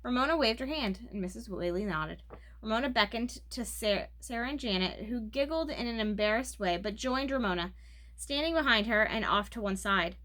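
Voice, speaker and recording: A woman's voice: a little high, expressive, moderate pace, a bit bright. A woman reading a children's book aloud as narrator. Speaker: storybook reader.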